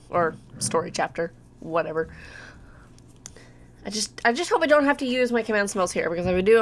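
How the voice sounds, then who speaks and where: A woman's voice: on the low side, a little hiss or hum. A woman hosting a let's play, giving casual, sweary game commentary and often talking to the game. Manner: sweary, exclaiming, talks to the game.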